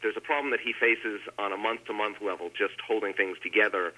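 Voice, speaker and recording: A man's voice: fairly low pitch, fairly even intonation, fairly quick, bright, forceful tone, poor audio. A man speaking as an author guest in an interview, explaining in a conversational way with hesitant restarts.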